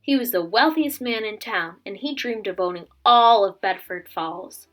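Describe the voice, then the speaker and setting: A woman's voice: mid-range voice, animated, normal speed, a bit bright, faint music behind. A woman in her twenties speaking as a teacher doing a storybook read-aloud for children. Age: 20s. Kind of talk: casual intro chat and storybook read-aloud.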